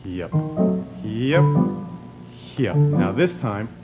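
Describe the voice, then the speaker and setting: A man's voice: lowish voice, very animated, drawn-out words, dull audio, over loud music. A man teaching pantomime movement, giving short, encouraging, repeated cues as he demonstrates. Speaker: pantomime performer and teacher, guest.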